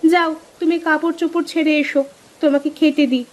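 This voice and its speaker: A woman's voice: high-pitched, level tone, a little fast, slight background noise, close to an everyday voice. A woman voicing an anxious, doting and easily hurt young village wife in a cartoon story. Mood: worried, caring. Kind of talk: Bengali cartoon story voice acting.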